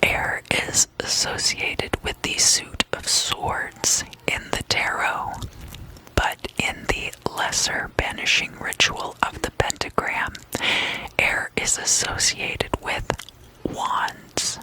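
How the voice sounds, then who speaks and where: An ASMR host whispering a guided meditation lesson in a soft, breathy, unvoiced voice, unhurried and often repeating words softly. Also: rough recording.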